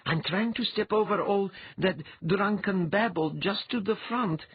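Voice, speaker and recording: Man voicing an intense young seer woman, high and husky. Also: measured pace, no echo, lo-fi sound, exaggerated voice. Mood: absorbed.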